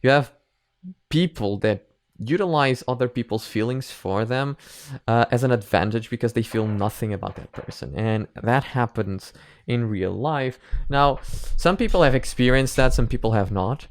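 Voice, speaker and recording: A man's voice: medium pitch, moderate pace, no room sound, studio quality. A man hosting a reaction video, talking in a casual, rambling, conversational way.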